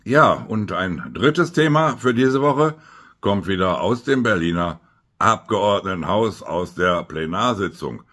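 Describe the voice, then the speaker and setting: A man giving informal political commentary, halting and stretching words for emphasis. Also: low voice, animated, fairly bright tone. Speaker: politician hosting a weekly video update. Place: small room at home by a window, indoors.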